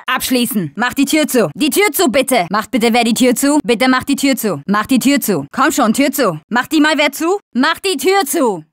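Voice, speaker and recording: Tough young zombie survivor girl, video game dub, high voice, dry close recording, wry and clipped. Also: expressive, moderate pace, few pauses, no room sound. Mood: calm, businesslike. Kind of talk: German video game dub, short combat callouts.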